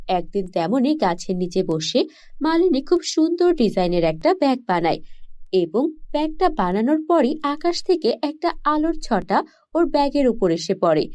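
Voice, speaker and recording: A woman reads a scripted cartoon story. Her voice sits high and has a soft, mellow tone. She delivers it as a storyteller narrating a tale.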